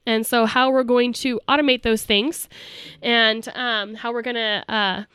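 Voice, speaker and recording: A woman's voice: a little high, steady tone, a little slow, a bit bright, clear, resonant tone, dry recording, clean studio sound. A young adult woman co-hosting a casual podcast, a little nasal from a cold, talking with enthusiasm. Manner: enthusiastic, talks in long lists. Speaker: podcast co-host.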